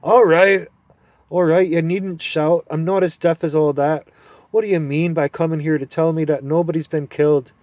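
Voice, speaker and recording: A man voicing a deaf, irritable old male dwarf who grumbles loudly and impatiently, in a storybook reading. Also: on the high side, steady tone, normal speed, no room sound, pitched above the performer's own voice, lightly acted. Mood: cranky.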